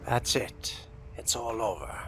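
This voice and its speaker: A man's voice: medium pitch, unhurried. A man role-playing a video game in character, speaking in a formal, theatrical manner. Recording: loud music behind the voice. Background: loud music.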